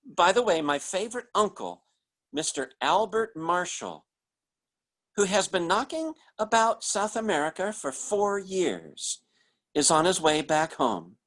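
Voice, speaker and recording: Guy acting as lovesick young man reading love letters, old radio play style, really animated, stammery and sappy. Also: high voice, heavily acted. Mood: eager, adoring. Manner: pleading, halting, sentimental, repeats himself. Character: lovesick, earnest young oil surveyor writing love letters. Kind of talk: 1940s radio drama acting.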